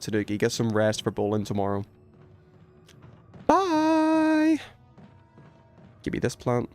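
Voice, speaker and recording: A man talks his way through a livestreamed game with an animated, mid-pitched voice. He thinks aloud in casual slang, often questioning himself and trailing off. Music plays faintly behind him. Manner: informal slangy speech. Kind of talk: casual livestream gameplay chat.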